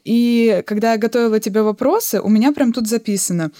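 A woman's voice: fairly high pitch, a little fast, warm tone, dry recording. Chatty young woman host, dry sound. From Yakutia, Russia. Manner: chatty, asks long rambling questions. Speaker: podcast host. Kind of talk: casual chat, interviewing a friend.

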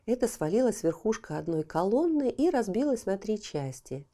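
A woman narrating a children's fairy tale audiobook, telling it expressively and dropping to a hushed voice at times. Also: medium pitch, very animated, normal speed.

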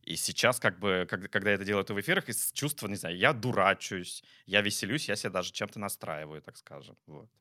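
A man's voice: on the low side, lively intonation, fairly quick, hardly any pauses, sharp tone, no room sound, clean studio sound. A man co-hosting a talk as a psychologist, chatting casually and reflectively, often searching for words. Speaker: psychologist and talk show co-host.

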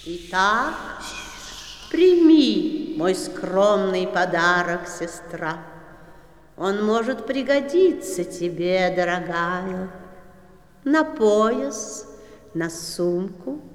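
Very slow, regal female cobra. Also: mid-range voice, lively intonation. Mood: solemn, gracious. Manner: stately, drawn-out, solemn delivery. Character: regal old female cobra, ruler of the jungle, gracious and solemn. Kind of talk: 1960s audio play acting. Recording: echoey reverberant 1960s studio recording.

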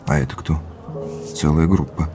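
A man's voice: rumbling low, fairly even intonation, normal speed, dark tone, soft background music. An actor playing an earnest, reflective young man researching his family's past in an audio drama, speaking thoughtfully and a bit hesitantly. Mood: pensive, absorbed.

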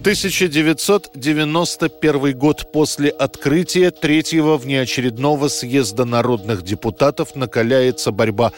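Male narrator, scripted history documentary delivery, mid-pitched, light music underneath. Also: moderate pace, few pauses. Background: music bed.

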